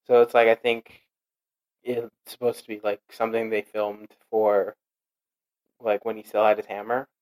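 A man's voice: fairly low pitch, completely flat delivery, darkish tone, poor audio. A man in casual chat with friends, rambling and correcting himself as he talks. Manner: rambling, self-correcting, quick to clarify.

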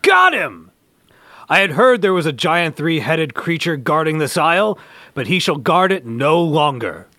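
A man as bright, forceful superhero leader. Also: a little high, very animated, unhurried, no echo, clean studio sound, heavily acted. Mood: heroic. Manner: declamatory melodrama. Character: earnest, heroic stretchy superhero leader. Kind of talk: amateur comic-book radio play.

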